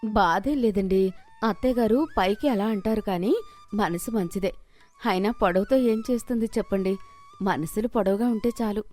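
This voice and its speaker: A woman voicing a gentle, patient young daughter-in-law who speaks calmly and respectfully in a moral story. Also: medium pitch, moderate pace, faint music behind, natural voice. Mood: calm, forgiving. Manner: respectful, calm. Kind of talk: Telugu moral story character voice.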